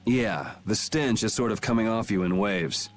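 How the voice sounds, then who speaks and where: A man's voice: fairly low pitch, moderate pace, lightly acted. A man voicing a cool, sardonic young man in a video game, with a dry, laid-back wit. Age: young adult. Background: game music.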